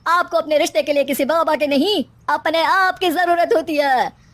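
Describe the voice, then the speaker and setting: Woman acting a measured, knowing holy man. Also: sits high, fairly bright tone, dry recording. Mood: wise, gentle. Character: calm, knowing male holy man advisor.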